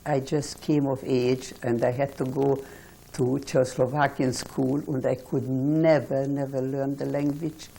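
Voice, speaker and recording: A Hungarian-born woman in her eighties, recalling her life slowly and haltingly in an oral history interview. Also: extremely low pitch, little pitch movement, creaky, warm tone, slight background noise, poor audio. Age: 80s. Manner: slow, halting recollection with restarts.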